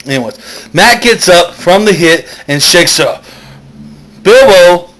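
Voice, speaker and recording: A man's voice: sits high, lively intonation, slow, a bit bright, poor audio. A man telling a comic story as host, rambling, correcting himself and wandering off on digressions. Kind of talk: comic, loosely improvised story narration.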